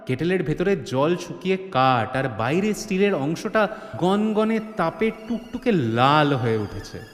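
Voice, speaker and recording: A man's voice: a little high, sing-song, a little slow. A man narrating a comedy-mystery story in a theatrical, suspenseful way, with touches of mock alarm. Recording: long hall reverb, music bed.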